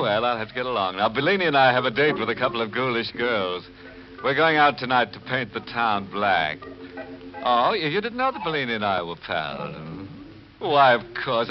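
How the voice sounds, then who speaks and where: An actor playing a sardonic, ghoulish, tongue-in-cheek host of a 1940s radio horror show, punning in a drawn-out way. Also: male voice, mid-range voice. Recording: muffled old radio recording. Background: prominent music.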